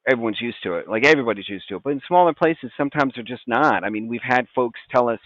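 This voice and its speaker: Male podcast guest, American, informal stop-start expert talk, mid-pitched, slightly lo-fi. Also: quick pace, dry recording. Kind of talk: casual expert discussion.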